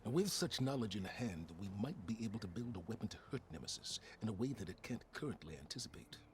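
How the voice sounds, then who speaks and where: An actor playing a grave, calculating older male scholar in a video game, speaking in a measured, formal way. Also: fairly low pitch, big pitch swings, background noise. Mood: cautious. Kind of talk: video game cutscene dialogue.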